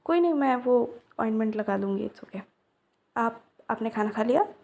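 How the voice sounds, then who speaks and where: Woman doing a sunny young woman, gentle ASMR roleplay, mellow tone, long reverb. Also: on the high side, expressive, normal speed. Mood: reassuring. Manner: soft-spoken, gentle, mixes in English. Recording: roomy echo with long reverb.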